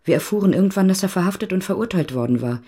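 An actress playing a subdued, bitter woman telling her story haltingly in a naturalistic radio play. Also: mid-range voice, animated, warm tone, breathy edge. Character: subdued, bitter woman recounting state persecution. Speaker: radio drama actor.

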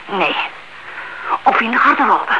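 Fretful, high-voiced old aunt. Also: female voice, expressive, a little slow. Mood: anxious, searching. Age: older. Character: anxious, stubborn, suspicious old aunt playing detective. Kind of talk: radio drama acting. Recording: muffled, very noisy old radio recording.

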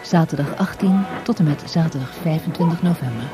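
A woman's voice: low voice, a little fast, over music. A woman reading scripted promotional narration as a radio announcer.

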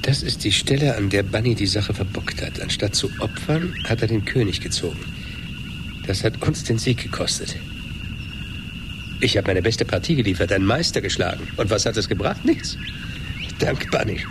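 An actor playing an embittered former chess champion, intense and resentful, in a radio play. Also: male voice, fairly low pitch, expressive, normal speed. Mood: bitter. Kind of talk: 1980s German radio play acting. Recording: noisy radio play recording, background ambience.

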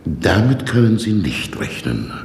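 An actor playing a dry, mocking male jailer in a 1960s radio drama, ironic and unhurried. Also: deep voice, sing-song, vocal fry, a little mellow, roomy sound, in a large hall, a little hiss or hum, exaggerated voice.

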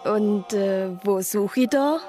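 A woman playing an observant deaconess narrator in a radio play. She tells the story in a mid-pitched voice, with faint music underneath. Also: takes it slowly, natural voice. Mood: wry, reflective. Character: observant deaconess recounting a murder case. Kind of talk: scripted radio drama narration.

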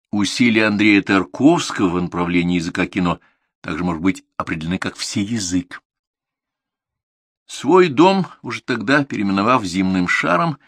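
A man giving a slow, essay-like lecture in a measured, emphatic manner, his pitch rising suddenly on stressed words. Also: fairly bright tone, dry recording, studio quality.

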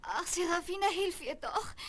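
Young circus girl: very high-pitched, impulsive, theatrical, emphatic, children's radio drama. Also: lively intonation, normal speed, a bit bright. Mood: upset, demanding. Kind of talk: 1970s radio play acting.

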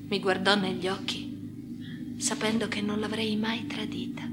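An actress playing a haunted, obsessive woman in an intimate radio drama monologue, breathy and often whispering, with slow, halting, intense phrasing. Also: mid-range voice, flat delivery, close to an everyday voice. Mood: hushed, reverent. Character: haunted, obsessive female amputee confiding in her chosen successor. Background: music.

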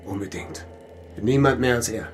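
A man playing an earnest, defensive artist under questioning in a radio crime drama, halting and emphatic. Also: mid-range voice, a little hiss or hum, lightly acted. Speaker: actor.

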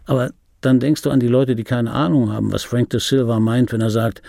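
An actor playing a disgruntled, wry middle-aged dubbing artist who tells his life in a rough voice, as a conversational radio play monologue. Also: mid-range voice, fairly even intonation, a little fast, mellow, no echo, clean studio sound, close to an everyday voice. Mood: wry, rambling. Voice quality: rough, gravelly. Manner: sardonic, conversational storytelling. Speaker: narrator and lead of a radio play.

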